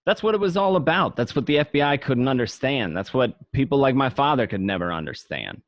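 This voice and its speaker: A man's voice: medium pitch, big pitch swings, a little fast, dry recording. Guy playing cocky young mob guy telling his story for a radio play, colloquial, dry lo-fi home mic. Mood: admiring, explanatory. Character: young wise guy recalling his mobster youth, cocky. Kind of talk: first-person narration in an amateur radio play.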